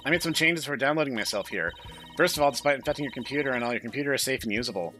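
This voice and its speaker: A bright, brisk and blunt computer-virus girl from a visual novel, voiced by a man. She is cocky and exact, stating everything as plain machine logic, with music behind her. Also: mid-range voice, lively intonation. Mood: matter-of-fact. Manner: matter-of-fact, precise, brisk. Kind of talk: visual novel character read-aloud.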